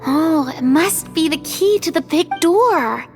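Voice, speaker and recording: A tiny fairy companion with a very high, bouncing voice that leaps up and down, gasping and fretting at every surprise. She speaks slowly and carefully over faint background music, like a game cutscene read aloud. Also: lively intonation, darkish tone, heavily acted. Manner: gasping, fretful, easily startled. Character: tiny chirpy female fairy companion, excitable. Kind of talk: fan voice reading of a game story.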